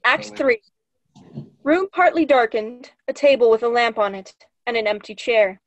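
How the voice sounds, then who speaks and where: A woman's voice: fairly high pitch, animated, normal speed, highs cut off. A young woman reading stage directions as scripted narration for a play. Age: young adult. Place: small room at home, video call.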